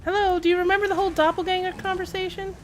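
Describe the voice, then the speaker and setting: A woman's voice: extremely high pitch, flat delivery, very fast, a little hiss or hum. A woman giving casual live game commentary, rambling and playfully self-deprecating as she chats to viewers and game characters. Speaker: game livestreamer.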